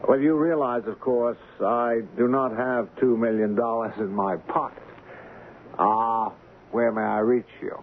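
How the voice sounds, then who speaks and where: Through a boxy old radio band with faint noise, a man plays a guarded executive. He speaks very slowly and formally, controlled and dry, as in a vintage radio play. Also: mid-range voice, steady tone, narrow, tinny audio, close to an everyday voice. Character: cautious, dry, measured male business executive. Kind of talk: 1970s radio drama acting.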